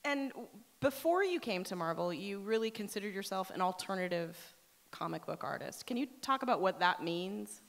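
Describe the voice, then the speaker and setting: A woman works as a live radio host, presenting and interviewing from a stage. Her voice sits at a middle pitch and carries the long reverb of a hall. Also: animated, normal speed.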